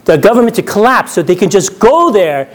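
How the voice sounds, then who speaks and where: A man's voice: high-pitched, very animated, fairly quick. A man from New York preaching a conversational sermon, often checking in with his listeners and laughing at his own stories. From New York, USA. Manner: chatty, often checks in with "right?".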